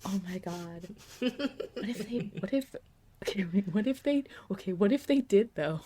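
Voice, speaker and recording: A woman podcast host talking casually and emphatically like an excited fan, often repeating and restarting her phrases. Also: mid-range voice, moderate pace, husky. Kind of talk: casual fan discussion, ranting.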